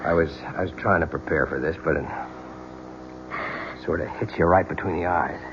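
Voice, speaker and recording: Man acting tender earnest husband, very low voice, radio drama, muffled broadcast with loud noise. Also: normal speed, lightly acted. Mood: stunned. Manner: soothing, earnest. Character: steady, tender husband, a newscaster.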